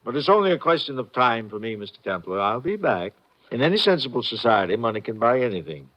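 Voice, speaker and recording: A man acts out a middle-aged gang boss from a 1950s radio thriller. He is smug and calmly threatening, with mocking courtesy and a highly animated intonation. The old recording is dull and muffled. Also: natural voice. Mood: smug resignation. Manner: measured, mockingly polite, echoing others' words. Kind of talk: 1950s radio crime acting.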